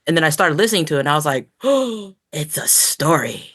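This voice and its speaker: A woman's voice: deep voice, animated, fairly quick, fairly bright tone, breathy edge, dry recording, studio quality. A woman co-hosting a podcast, talking in a casual, conversational way. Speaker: podcast co-host. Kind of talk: casual chat.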